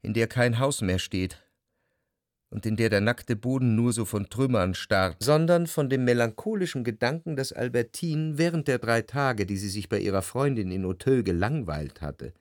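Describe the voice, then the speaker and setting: An actor playing a melancholy, jealous lover who narrates his memories in a reflective, measured literary radio play style. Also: medium pitch, no room sound, clean studio sound, lightly acted.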